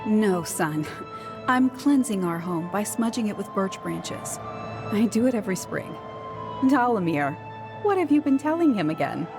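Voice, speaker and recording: A woman voicing a wry, good-humoured village mother with a gently scolding manner in a video game. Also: medium pitch, very animated, measured pace, music in the background. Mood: amused patience.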